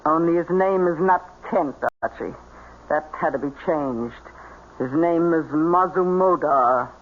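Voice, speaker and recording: A woman's voice: sits low, unhurried. An actress playing a bossy, scheming female con artist with folksy, commanding speech in an old-time radio drama. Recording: dull, noisy old radio recording.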